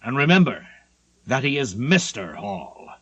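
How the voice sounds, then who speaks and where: A man's voice: mid-range voice, sing-song, unhurried, husky, dry recording. A man voicing a stern, commanding old-time sea captain in an audiobook, speaking with scornful emphasis. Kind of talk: audiobook character voice.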